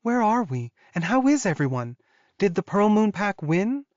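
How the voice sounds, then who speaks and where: A man's voice: sits high, very animated, moderate pace, darkish tone, no echo, muffled recording, voice pushed up. A man voicing an injured young female wolf-shifter heroine in an audiobook, earnest, curious and a little tentative. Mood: relieved, curious.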